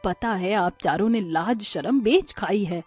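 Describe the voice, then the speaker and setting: A woman plays a scandalized middle-aged mother-in-law from an animated moral tale. She scolds with indignation and reproach, at a medium pitch, over background music. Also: unhurried, breathy edge. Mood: reproachful. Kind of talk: animated storybook dialogue.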